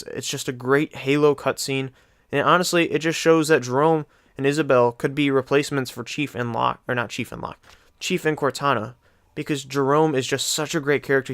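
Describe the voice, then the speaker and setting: A man hosts a video countdown in a casual, unscripted way. His voice is clear and smooth with a mid pitch, and he rambles with enthusiasm. The sound is very dry. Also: fairly bright tone, no echo.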